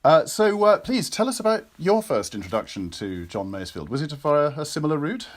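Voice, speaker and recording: A man's voice: a little high, very animated, a little fast. A man with a British accent hosting an interview, speaking in an effusive, articulate way as he introduces and questions a guest. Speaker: interviewer and actor. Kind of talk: warm introduction and interview, semi-prepared.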